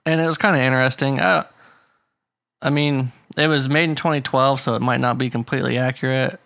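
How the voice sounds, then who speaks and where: A man's voice: mid-range voice, fairly even intonation, fairly quick, creaky, a bit bright, no echo. Chatty casual male podcast co-host. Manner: chatty, hedging, laughs often. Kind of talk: casual chat.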